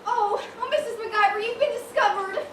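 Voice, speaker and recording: A woman acting an eager, excitable young shop assistant in an amateur stage comedy. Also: very high-pitched, a little fast. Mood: alarmed. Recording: echoey stage from far off, noisy.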